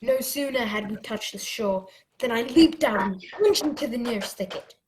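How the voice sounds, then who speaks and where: A woman playing an excitable boy adventurer, narrating a radio drama with dramatic storytelling. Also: a little high, moderate pace, a little hiss or hum, lightly acted. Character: excitable boy adventurer telling his tale.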